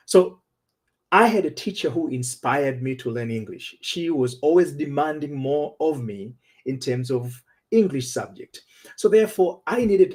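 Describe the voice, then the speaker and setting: Male relationship coach, fairly high and husky, earnest storyteller, for a talk show monologue. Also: warm tone. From Tanzania. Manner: earnest and personal, with frequent restarts.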